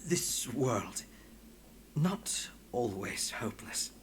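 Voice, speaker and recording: An actor playing a muddled, earnest man recovering his speech, breathy and stammering in broken phrases in a video game. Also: medium pitch, expressive, drawn-out words, whispered. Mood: strained, earnest. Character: muddled, halting male friend, recovering and earnest.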